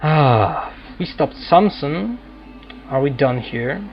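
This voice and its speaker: A man doing casual game commentary, thinking aloud in halting fragments as he plays. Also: medium pitch, animated, highs cut off, soft background music. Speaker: gaming video host playing and commenting.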